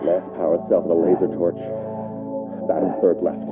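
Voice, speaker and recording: A man's voice: sits low, level tone, brisk, phone-quality sound, close to an everyday voice. An actor playing a gung-ho, tech-minded salvage crewman in a radio drama. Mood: matter-of-fact. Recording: loud music under the dialogue.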